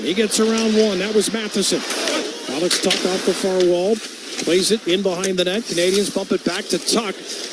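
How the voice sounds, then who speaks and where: A male radio hockey announcer with a high voice calls the action live. He speaks in clipped phrases that follow each play. Clear arena noise sits behind him. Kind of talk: live radio sports commentary. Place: broadcast position at a hockey arena.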